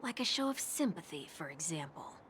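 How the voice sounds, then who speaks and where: A woman's voice: low voice, big pitch swings, fairly quick, fairly bright tone, a little hiss or hum. A woman voicing a small, teasing, sarcastic video game character with a theatrical, mischievous manner. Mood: sly, mocking. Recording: background music under dialogue.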